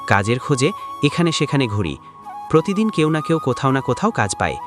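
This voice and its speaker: A man voicing a humble, flattering poor farm labourer in a cartoon, speaking in a deferential, pleading way. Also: mid-range voice, a little fast, music in the background, natural voice. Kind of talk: cartoon dialogue acting.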